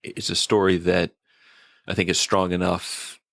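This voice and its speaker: Deep, gravelly man chatting casually. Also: little pitch movement, a little slow, a bit bright, no echo, clean studio sound. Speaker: podcast reviewer. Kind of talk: casual episode review chat.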